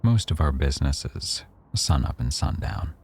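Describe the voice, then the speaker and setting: A man playing a rough-edged mafia boss who owns a cafe, teasing, probing and quietly threatening, in a boyfriend ASMR roleplay. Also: extremely low pitch, very animated, normal speed, slight background noise, exaggerated voice. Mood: playful, proud. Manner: teasing, probing, smugly self-assured.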